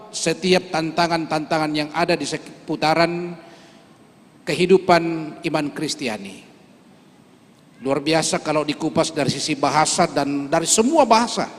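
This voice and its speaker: Higher-voiced man from toraja, church leader, earnest solemn prayer, long echo off a big hall. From Toraja, Indonesia. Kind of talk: church speech and closing prayer.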